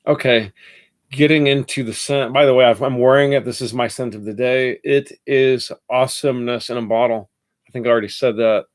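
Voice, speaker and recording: A man's voice: medium pitch, steady tone, a little fast. Congested nasal man reviewing a fragrance. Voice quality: nasal, congested from a head cold. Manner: chatty, rambling, self-correcting. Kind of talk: casual video review.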